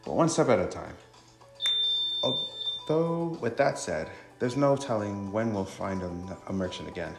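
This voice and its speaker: Man reading an earnest low-voiced young fighter. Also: expressive, measured pace, creaky. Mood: grateful, practical. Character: troubled, earnest young fighter searching for kidnapped friends. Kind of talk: visual novel dialogue read aloud. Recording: roomy sound with music.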